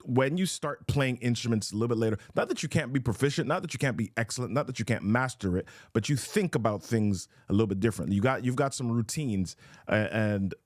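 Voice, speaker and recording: A man's voice: medium pitch, fast talker, no echo, clean studio sound. A man hosting a motivational live show, talking emphatically and repeating his key questions. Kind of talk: motivational live-stream talk.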